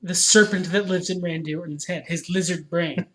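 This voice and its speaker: A man's voice: sits high, fairly even intonation, normal speed, fairly bright tone, low-quality recording. A man co-hosting a podcast in casual chat, wry and profane, arguing his points. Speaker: podcast co-host.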